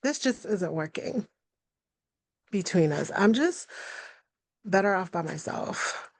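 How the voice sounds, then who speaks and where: An actress playing a hurt, fed-up young woman quarrelling with her fiancé in a live dark comedy script read, accusing and weary. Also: mid-range voice, expressive, slow, dry recording. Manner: accusing, weary, sharp-tongued.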